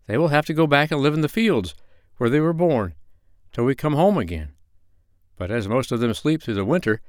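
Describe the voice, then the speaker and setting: Gentle, dithering storybook doctor, male, as the narrator puts him on, dry close sound. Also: mid-range voice, dry recording, natural voice. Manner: mild, fussy, dithering. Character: kindly absent-minded male animal doctor.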